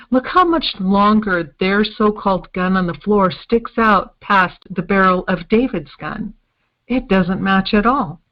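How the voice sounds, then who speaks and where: A woman playing a methodical forensic investigator in an old time radio style detective drama, explaining evidence in a deliberate way. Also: medium pitch, highs cut off, close to an everyday voice.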